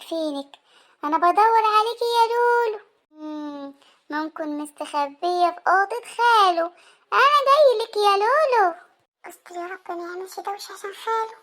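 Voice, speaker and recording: A playful but nervous little boy put on by a performer for a family cartoon skit. His voice is pitched up, high and youthful. He mutters cautiously to himself. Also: pushed and bright.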